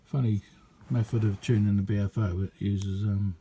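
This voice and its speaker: A man giving a casual, rambling hands-on demonstration as a radio hobbyist, chatty and hesitant in an unhurried way. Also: lowish voice, fairly even intonation, dark tone, slight background noise.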